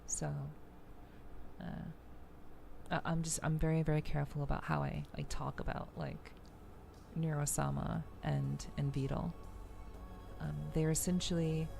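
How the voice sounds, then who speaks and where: Low, creaky-voiced woman streamer. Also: little pitch movement, mellow, faint music behind. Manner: halting, measured, repeats words as she thinks. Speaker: VTuber livestream host. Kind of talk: casual livestream chat, answering viewers.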